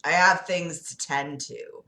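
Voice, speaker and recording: Devil played by a woman, male, leering, hushed commands, drawn-out pacing, storybook villain, roomy echo. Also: sits low, steady tone, full character voice. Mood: cold commanding. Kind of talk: improvised voice in a story reading. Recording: roomy, echoing sound.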